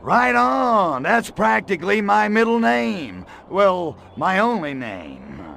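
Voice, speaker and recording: A brash little alien invader from a video game, with a raspy, fried edge. He drawls slowly and swoops widely in pitch, tossing out slangy, lecherous wisecracks with total swagger. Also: very animated, fairly bright tone, slight background noise, full character voice. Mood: eager, cocky. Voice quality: gravelly. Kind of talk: video game cutscene voice acting.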